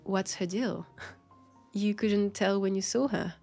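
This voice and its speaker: A woman's voice: medium pitch, steady tone, slow, mellow, faint music behind. A woman with a French accent playing a guarded but friendly vampire police officer in an intimate audio drama, speaking hesitantly and wryly, as if confiding. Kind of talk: roleplay audio drama, intimate one-sided conversation.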